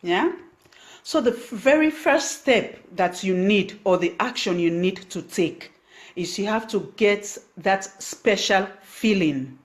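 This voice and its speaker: A woman's voice: mid-range voice, animated, takes it slowly. A woman from Sierra Leone with a Sierra Leonean accent, speaking English as a second language, giving business tips on a live stream and stopping to thank viewers by name. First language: Krio. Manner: thanks viewers by name, many fillers and restarts. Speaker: online business coach. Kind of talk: Facebook live stream talk.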